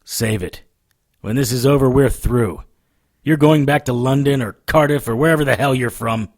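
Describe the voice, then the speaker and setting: A gruff private eye, played by a man, seasoned and stubborn, in a radio drama. He talks in a curt, sardonic way at a middling pitch. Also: normal speed, natural voice. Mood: curt, bitter. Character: hard-bitten, stubborn, experienced male private investigator. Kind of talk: audio drama acting with first-person narration.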